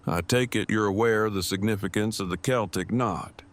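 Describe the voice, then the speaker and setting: A man playing an earnest, bookish bridegroom in an audio drama, speaking in a formal, wordy, precise and measured way. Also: fairly low pitch, natural voice. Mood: earnest, devoted.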